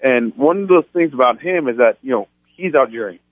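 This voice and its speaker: A man's voice: mid-range voice, expressive, fairly quick, no echo. A man in his thirties from France, telling his story earnestly as a radio guest, with many restarts. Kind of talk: phone-in interview. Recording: tinny phone line.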